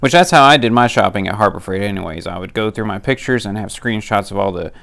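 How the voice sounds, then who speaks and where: Nasal guy fighting a cold, gravelly and smooth, practical tool-deal vlogger, chatty and plain-spoken. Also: fairly low pitch, quick pace, fairly bright tone. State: has a cold. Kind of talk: casual product rundown.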